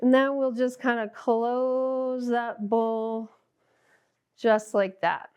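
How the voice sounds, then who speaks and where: A woman's voice: fairly high pitch, steady tone, drawn-out words, a little mellow, smooth. A middle-aged woman hosting and teaching a step-by-step drawing lesson, patient and encouraging. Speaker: art teacher and show host.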